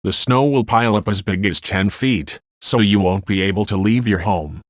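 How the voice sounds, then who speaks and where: A man's voice: lowish voice, moderate pace, no echo, studio quality, natural voice. A man playing a sombre weather service expert, giving plain, grave survival instructions in a scripted radio drama. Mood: grave, instructive. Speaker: radio show guest expert.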